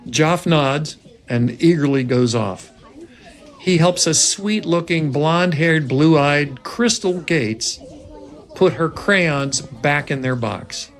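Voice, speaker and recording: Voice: medium pitch, takes it slowly. A narrator reading scripted stage directions aloud at a film table read.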